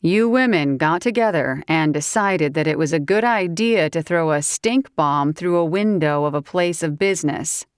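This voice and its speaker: A woman's voice: moderate pace, few pauses, clear, resonant tone, no room sound, studio quality, close to an everyday voice. A woman voicing a stern, protective man who speaks firmly and in a clipped way in an audiobook. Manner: firm, clipped, low-key. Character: stern, protective grown man, gruff and calm.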